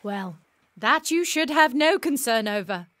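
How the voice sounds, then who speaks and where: An actress playing a wise, wry, playful woman who gives gentle counsel in a period audio drama. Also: on the high side, animated, measured pace, no room sound. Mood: wise, reassuring.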